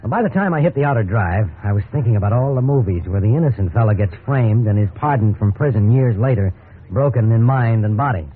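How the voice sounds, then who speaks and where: A man's voice: fairly low pitch, lively intonation, quick pace, slightly rough. An actor playing a breezy, incredulous American salesman husband in a 1950s radio drama, wry and conversational. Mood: wry, uneasy. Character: cheerful, incredulous suburban husband, an encyclopedia salesman. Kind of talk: 1950s radio drama acting with wry first-person narration. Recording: tinny, hissy 1950s radio recording.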